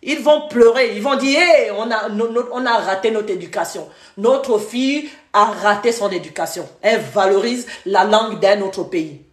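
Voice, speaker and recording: A woman's voice: medium pitch, moderate pace, few pauses. A woman from Gabon hosting a live stream, scolding viewers in a heated, repetitive rant. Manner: scolding, repetitive, switches to English briefly. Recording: echoey room.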